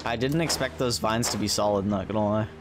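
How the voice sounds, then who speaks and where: A man's voice: lowish voice, fairly quick, background noise. A young American man doing casual, slangy gameplay commentary in an offhand, musing way as a livestreamer. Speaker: video game livestreamer. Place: indoor streaming room with webcam. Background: game music.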